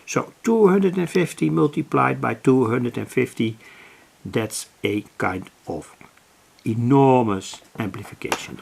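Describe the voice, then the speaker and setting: Hobby electronics host, gravelly low-key voice, slow and halting. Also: male voice, a little mellow. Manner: slow, deliberate, with many restarts and self-corrections. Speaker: electronics hobbyist explaining a circuit. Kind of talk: slow, halting explanation of a schematic. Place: home workbench.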